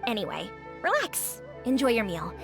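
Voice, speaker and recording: An actress voicing a gentle, courteous young noblewoman in a game, speaking softly and formally. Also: on the high side, wildly swooping pitch, normal speed, vocal fry, full character voice. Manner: soft-spoken, courteous, formal. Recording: game audio with faint music.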